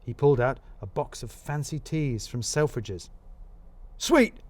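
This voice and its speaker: A man narrating a scripted comic mystery in the first person, wry and expressive. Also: mid-range voice, normal speed. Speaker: narrator of a serial mystery story.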